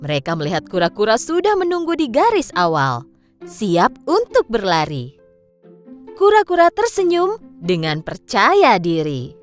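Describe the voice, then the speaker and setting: A woman narrating a scripted children's fable in an expressive storytelling style. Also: mid-range voice, measured pace, soft background music.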